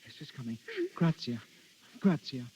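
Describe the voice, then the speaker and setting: A man's voice: medium pitch, animated, normal speed, warm tone, husky. An actor playing an earnest young nobleman in love, in 1930s radio drama style. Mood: anxious. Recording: dull 1930s radio recording.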